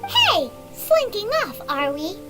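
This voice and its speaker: A tiny chirpy fairy for a video game, extremely high and squeaky. She is cheeky and childlike, with bouncy, swooping intonation over clearly audible music. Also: huge pitch swings, slow pace, full character voice. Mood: cheeky, grumbling.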